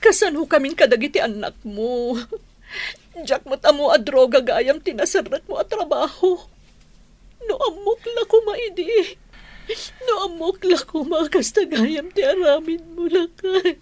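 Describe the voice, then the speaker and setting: A woman's voice: high voice, lively intonation, measured pace, darkish tone. An actress with a Filipino accent playing an emotional, pleading wife and mother in a radio drama. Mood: tearful, pleading. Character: anguished wife and mother of a jailed husband.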